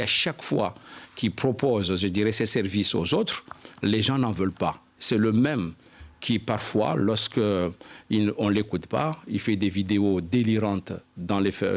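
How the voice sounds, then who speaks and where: Male interview guest, local politician, lower-pitched voice, formal register, halting and explanatory phrasing. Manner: halting, repeats "je dirais", explanatory.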